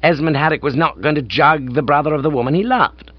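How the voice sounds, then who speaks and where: A man voicing an excitable, pompous upper-class English gentleman in a comic audiobook, breezy and self-satisfied. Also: a little high, brisk, dry recording, dull audio, pitched above the performer's own voice, natural voice. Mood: breezy, pleased.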